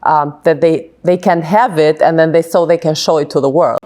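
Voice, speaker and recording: A woman's voice: deep voice, fairly even intonation, normal speed, a little mellow. A woman, hosting a TV interview and switching between languages, often hesitating with fillers. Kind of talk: bilingual TV interview.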